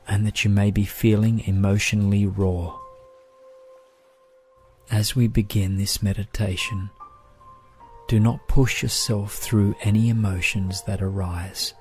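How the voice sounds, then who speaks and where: A man narrating a guided meditation in a gentle, soothing, measured way. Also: on the low side. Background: music.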